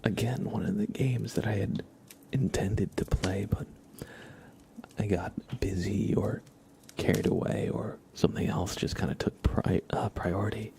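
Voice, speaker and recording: A man hosting a casual ASMR livestream, chatting in a soft, breathy, hushed whisper with an unhurried, rambling delivery. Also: lowish voice.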